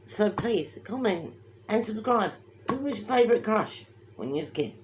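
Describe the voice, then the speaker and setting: A woman's voice: medium pitch, lively intonation, moderate pace, a little hiss or hum. A woman co-hosting a casual chat, teasing and drawing out her words for emphasis. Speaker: co-host.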